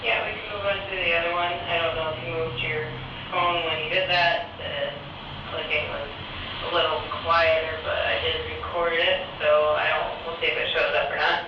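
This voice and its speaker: A man's voice comes through a walkie-talkie, dull and staticky, played into a very echoey space. He is fairly high in pitch and answers in a casual radio exchange. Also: flat delivery, moderate pace. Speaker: radio test partner at home. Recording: staticky muffled radio, echoey, distant, noisy.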